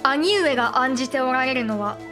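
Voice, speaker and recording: A woman's voice: sits high, expressive, moderate pace, music in the background. A woman playing an anguished, principled noblewoman in a Japanese period voice drama, speaking formally and earnestly. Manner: formal, polite, earnest pleading. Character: anguished, principled samurai noblewoman, warlord's younger sister.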